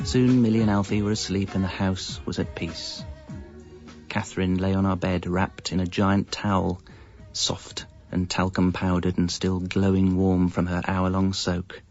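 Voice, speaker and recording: An actor playing a wry husband and young father, narrating a comic radio monologue in a deadpan, confessional way. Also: low-pitched, measured pace, faint music behind. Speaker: narrator and lead character. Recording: muffled, band-limited audio.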